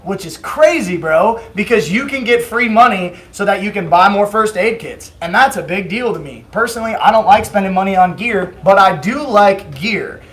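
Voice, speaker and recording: Chatty hoarse man presenting gear. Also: sits high, expressive, normal speed, hardly any pauses, a bit bright. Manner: joking, chatty, salesy. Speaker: host of a company livestream. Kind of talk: casual product livestream chat.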